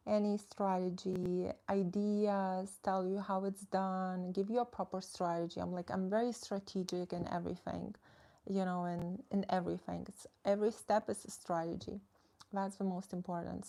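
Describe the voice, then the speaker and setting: A woman's voice: medium pitch, gravelly, darkish tone. A young woman, a social media coach chatting casually on a live stream, reading and answering viewer comments in a frank, filler-heavy way.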